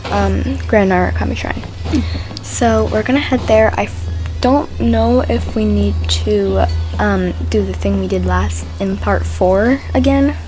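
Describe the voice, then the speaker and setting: A woman's voice: medium pitch, darkish tone, poor audio. A woman hosting a gaming video with casual commentary, hesitant and rambling, often repeating her phrases. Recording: game music behind commentary.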